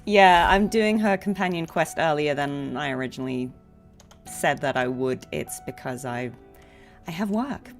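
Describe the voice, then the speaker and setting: A woman's voice: low voice, expressive, unhurried. A woman chatting casually and informally to viewers while livestreaming a game. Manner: chatty, informal, chuckles easily. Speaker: voice actress livestreaming gameplay. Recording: faint game music behind voice. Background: faint game music.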